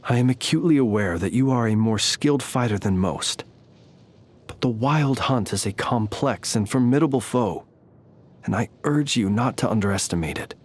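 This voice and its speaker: A man voicing a courtly, humble lamp-bearing knight in a video game, speaking in formal, eloquent, old-fashioned phrases at a measured pace. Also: mid-range voice, animated, a little mellow, natural voice. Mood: grave, cautioning. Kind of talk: scripted game character voice lines.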